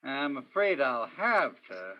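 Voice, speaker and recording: A man's voice: medium pitch, big pitch swings, takes it slowly, no room sound, muffled recording. A man playing a persistent, world-weary hard-boiled detective in a radio crime drama. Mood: pressing. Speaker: stage actor in a radio play. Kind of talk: old-time radio crime drama acting.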